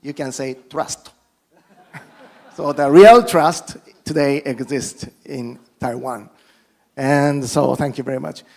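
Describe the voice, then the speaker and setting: A middle-aged man from Japan, a native Japanese speaker, giving a formal guest speech as a politician in halting English with a Japanese accent, stumbling over words and restarting often between self-deprecating jokes. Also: mid-range voice, vocal fry.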